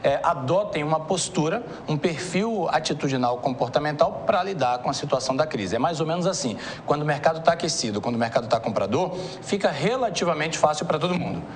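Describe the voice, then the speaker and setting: A man's voice: a little high, lively intonation, brisk, few pauses. A man from Bahia with a Brazilian accent, answering interview questions as a consultant in a didactic way. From Salvador, Bahia, Brazil. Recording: faint noise in TV interview.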